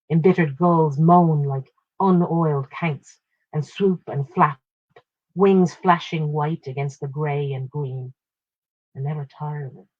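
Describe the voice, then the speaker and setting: Woman, husky voice, story narration, measured expressive phrasing, dry room, muffled low-fidelity recording. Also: dry recording. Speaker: short story reader. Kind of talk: literary short story narration.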